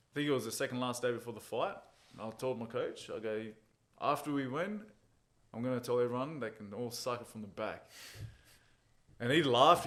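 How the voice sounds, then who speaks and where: A man speaking at an ordinary middle pitch, relaxed and chatty as he talks through his fights and his childhood. He tells his stories loosely and easily, chuckling now and then at his own jokes. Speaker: MMA fighter, interview guest. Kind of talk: casual interview chat.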